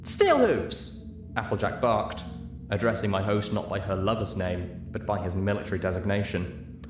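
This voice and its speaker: A man's voice: lowish voice, level tone, moderate pace, music in the background. A man narrating a story as a dramatic audiobook reader, recorded in a room. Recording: echoey room.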